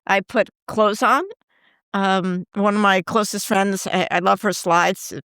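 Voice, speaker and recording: A woman's voice: mid-range voice, fairly even intonation, measured pace, fairly bright tone, clear, resonant tone, no echo, clean studio sound. A middle-aged woman hosting a podcast, reflective as she tells stories in casual chat. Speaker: podcast host.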